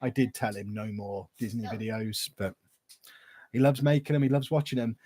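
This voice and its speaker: A man's voice: lowish voice, fairly even intonation, normal speed, warm tone, a little hiss or hum. A man, casually chatting and answering viewer questions on a livestream. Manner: chatty, rambling, reads viewer questions aloud. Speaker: YouTuber hosting a livestream Q&A.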